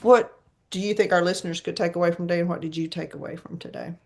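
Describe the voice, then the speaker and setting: Low-pitched, gravelly woman podcast host. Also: fairly even intonation, quick pace, a little mellow. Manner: chatty, laughs often, trails off. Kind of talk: casual chat.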